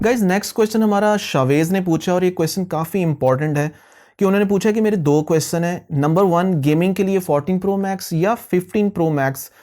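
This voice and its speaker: A man's voice: a little high, warm tone. A man hosting a question-and-answer tech video, explaining conversationally to viewers and mixing in English phrases. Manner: mixes English phrases into speech. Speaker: host of a tech Q&A channel.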